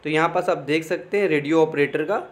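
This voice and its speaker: A man's voice: a little high, a little fast. A man giving an informal explainer, reading out official text and commenting, often repeating phrases and adding check-in tags. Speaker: exam information presenter.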